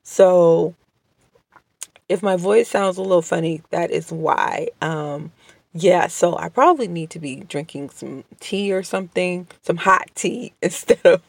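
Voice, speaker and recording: Woman podcast host, sick and kinda strained, slow with lots of ums, gravelly fry, dry close mic at home. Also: fairly low pitch, no room sound. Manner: slow, hesitant, many ums and restarts; polite and apologetic. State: under the weather, voice strained. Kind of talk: casual solo podcast monologue. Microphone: home podcast microphone.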